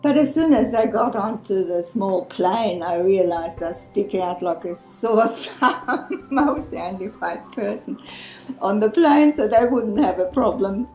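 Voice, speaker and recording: A woman volunteer who teaches English, speaking in an earnest, reflective testimonial with soft laughs. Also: mid-range voice, animated, a little slow, muffled recording. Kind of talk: testimonial interview. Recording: echoey room with faint music.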